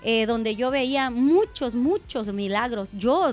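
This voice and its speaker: A woman's voice: fairly high pitch, animated, slow pace. Woman pastor preaching on the radio. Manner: conversational preaching, addresses listeners as brother. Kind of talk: radio sermon.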